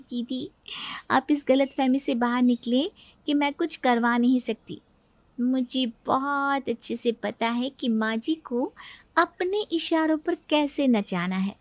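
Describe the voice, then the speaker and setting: A woman's voice: sits high, slow pace, no echo. A woman voicing a sly, sweet-talking younger daughter-in-law in a cartoon story, coaxing and self-assured. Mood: smug, confiding. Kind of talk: Hindi cartoon story dialogue.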